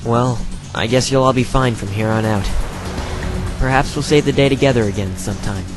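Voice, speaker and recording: A man's voice: mid-range voice, a little fast, natural voice. Man acting cocky young hero hedgehog, music behind. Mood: friendly, upbeat. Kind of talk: fan audio drama acting.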